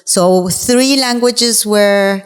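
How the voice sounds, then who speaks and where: A woman from Bahrain whose first language is Farsi, speaking as an interview guest in a reflective, halting way with many fillers. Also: medium pitch, clean tone, in a large hall. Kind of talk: conversational interview.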